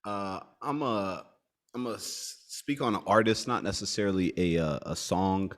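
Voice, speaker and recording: Man, young adult, podcast co-host, very low voice, reflective, searching for words. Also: moderate pace, a bit bright. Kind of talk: casual chat.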